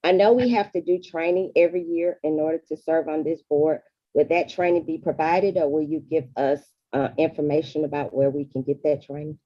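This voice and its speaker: A woman's voice: deep voice, fairly even intonation, brisk, dry recording. A woman speaking as a board member in a formal meeting, politely putting questions, over muffled call audio.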